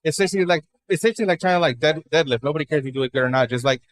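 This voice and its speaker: Dallas guy in his 20s, talks fast, says like a lot, swears, guest on a podcast. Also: a little high. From Dallas, Texas. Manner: rapid, filler-heavy, profane. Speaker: guest comedian. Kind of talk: casual interview chat.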